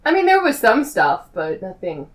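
A woman plays a tabletop game and jokes casually as she goes. Her mid-range voice swings widely in pitch, with a flippant, playful delivery. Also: huge pitch swings, normal speed, recorded from a distance. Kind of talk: casual joking chat.

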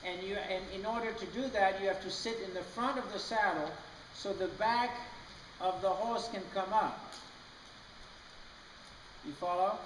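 A man's voice: high voice, unhurried, long pauses, a bit bright, recorded from a distance. A man coaching a rider in a large indoor riding arena, patient and explanatory, often checking in with "okay?". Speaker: riding clinician. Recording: roomy echoey arena from afar with noise.

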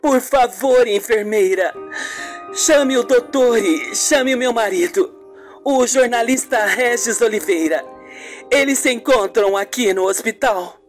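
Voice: medium pitch, a little slow, faint music behind, pitched above the performer's own voice, lightly acted. A performer voicing an earnest, grateful young woman patient in a radio soap opera. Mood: polite, urgent.

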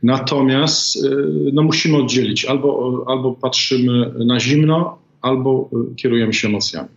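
A middle-aged man as an interview guest, giving a measured political analysis in a deliberate way with many hesitant pauses, heard over a video call. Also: medium pitch. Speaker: guest, former ambassador. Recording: echoey, dull video call audio.

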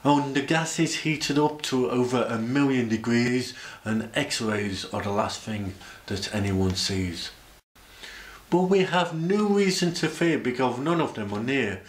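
A man's voice: medium pitch, lively intonation, unhurried. Man, echoey spoken-word delivery. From Sheffield, England. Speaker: presenter. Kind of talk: rhyming spoken-word poetry about science. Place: indoor room, speaking to camera. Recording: echoey room.

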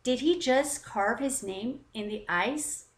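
A hearty, encouraging skating coach calls out in a children's storybook, voiced by a woman with a fairly high pitch. The room echoes noticeably. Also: slow pace. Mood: amazed.

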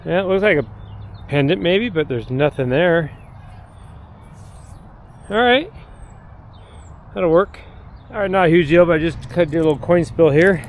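A man's voice: on the high side, unhurried, pauses often, slight background noise. A middle-aged man casually narrating his metal detecting outdoors in parks, thinking aloud with many fillers, recorded on an action camera. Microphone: action camera held or chest-mounted.

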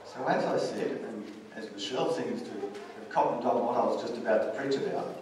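The sound is distant and heavily reverberant, a church hall with clear audience noise. A middle-aged man preaches at the lectern, moving between scripture reading and measured, plain-spoken explanation. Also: medium pitch, a crowd in the background. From Australia. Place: church stage, indoors. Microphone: stand mic at lectern, heard from far camera.

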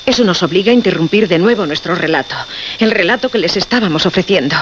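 An actress playing a radio announcer who reads a grave bulletin in a formal, deliberate way. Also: female voice, lowish voice, animated, close to an everyday voice. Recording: dull radio recording, faint noise.

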